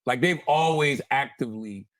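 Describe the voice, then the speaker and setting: A man talking in an animated, casual group discussion, telling stories emphatically, repeating phrases and swearing. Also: medium pitch, moderate pace, dry recording, studio quality. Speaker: show host.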